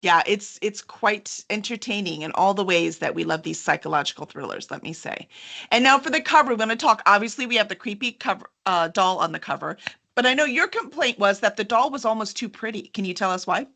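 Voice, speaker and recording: A woman's voice: medium pitch, animated, a little fast, gravelly, bright, forceful tone. A woman hosting an interview in a chatty, enthusiastic style, sometimes reading aloud. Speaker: host and book publisher's representative. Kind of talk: upbeat interview chat with some reading aloud.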